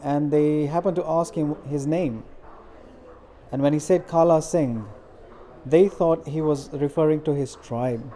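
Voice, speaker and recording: Earnest middle-aged man, mellow voice. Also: a little high, fairly even intonation, slight background noise. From India. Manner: earnest, measured with frequent fillers. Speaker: interviewee, community organizer. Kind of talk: interview answers.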